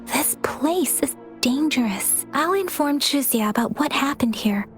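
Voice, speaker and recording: A woman voicing an earnest, perceptive young investigator in a video game, cautious and compassionate, with a softly breathy, measured delivery. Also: high voice, expressive. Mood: earnest, resolved. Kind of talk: scripted game dialogue acting. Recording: game music behind the voice.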